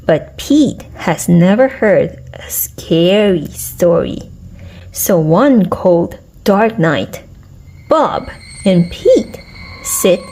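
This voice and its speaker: A woman's voice: medium pitch, mellow. A woman reading a story aloud for young children, expressively, putting questions to her listeners. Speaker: children's story reader and host.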